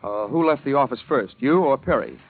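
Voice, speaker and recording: A man's voice: medium pitch, expressive, fairly quick. An American actor playing a wisecracking, hard-boiled male insurance investigator in an old radio drama, with a wry, clipped delivery. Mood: brisk, probing. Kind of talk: 1940s-50s radio detective acting, hard-boiled narration. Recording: tinny vintage radio broadcast recording.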